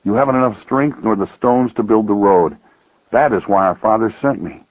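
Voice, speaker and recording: A man voicing a calm, patient, merciful eldest brother in a parable, speaking in a measured, gentle way. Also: fairly low pitch, no echo, highs cut off, lightly acted. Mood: firm, patient. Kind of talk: dramatized parable storytelling.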